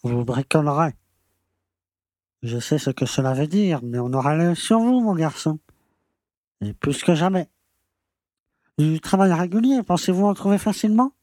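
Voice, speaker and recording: A man's voice: on the high side, lively intonation, normal speed, long pauses, mellow, dry recording, natural voice. Man acting a pompous small-town mayor, dry sound. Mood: condescending. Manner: pompous, patronizing. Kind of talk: audio drama acting.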